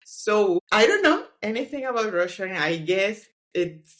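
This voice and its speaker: A woman whose first language is Spanish talks as a guest on a casual book podcast, in a middle-pitched voice. She speaks thoughtfully and a bit hesitantly, pausing and trailing off while she gathers her words. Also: sing-song, fairly bright tone. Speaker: guest, scientist. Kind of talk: casual book club discussion.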